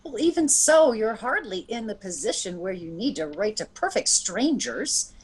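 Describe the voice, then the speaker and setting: A woman playing a bossy, practical, disapproving married sister in an old-time radio drama, brisk and scolding. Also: medium pitch, very animated, slightly rough. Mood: exasperated, scolding.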